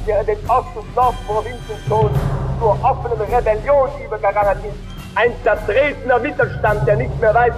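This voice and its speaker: A man's voice: high-pitched, poor audio. A man delivering an accusing, declamatory political speech as an opposition politician.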